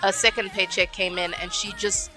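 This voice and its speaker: A young woman from Zimbabwe with a Zimbabwean accent softened toward American, telling her story candidly in a studio conversation and acting out what other people said. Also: mid-range voice, moderate pace, over music, poor audio. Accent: Zimbabwean, toned toward American. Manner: animated, acts out quotes in other voices. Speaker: guest singer. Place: radio studio.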